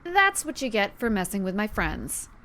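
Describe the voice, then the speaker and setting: A woman's voice: mid-range voice, big pitch swings, normal speed, pushed and bright. A woman playing a confident, loyal young superhero in an audio drama. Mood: smug. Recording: faint background noise and ambience.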